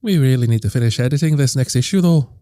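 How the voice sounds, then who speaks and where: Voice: medium pitch, fast talker, mellow, no room sound, clean studio sound, lightly acted. A performer playing an earnest, easily exasperated comic book editor who loves drama, in prodding audio drama banter.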